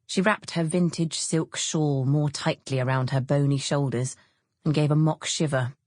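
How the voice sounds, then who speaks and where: An English woman narrates a novel with expressive, scripted delivery. Her voice sits low and has a husky grain. The studio sound is close and dry. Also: moderate pace, no room sound. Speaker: audiobook narrator.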